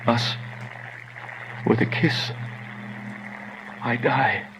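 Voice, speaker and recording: An actor playing a passionate, despairing young lover in a Shakespearean radio drama, lamenting in a declamatory way. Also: male voice, medium pitch, steady tone, very slow, noisy background. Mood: resolved despair.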